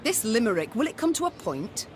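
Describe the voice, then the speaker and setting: A woman's voice: a little high, big pitch swings, brisk, slight background noise. A woman voicing a proud, defiant middle-aged warrior queen in a fantasy video game, speaking formally and regally. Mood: icy, sarcastic. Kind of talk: dramatic video game voice acting.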